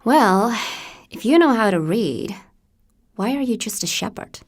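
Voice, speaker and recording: A woman's voice: fairly high pitch, expressive, a little slow. A woman voicing a curious, forthright young storybook girl with an American accent, in a direct, questioning way. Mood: curious, challenging.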